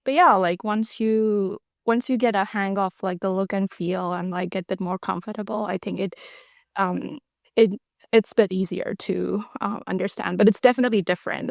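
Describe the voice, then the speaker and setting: Young woman co-host, kinda creaky, lots of um and like, dry sound. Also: mid-range voice, fairly even intonation, moderate pace, no room sound. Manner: hesitant, many ums and likes. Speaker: co-host, marketing ops. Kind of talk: casual work chat.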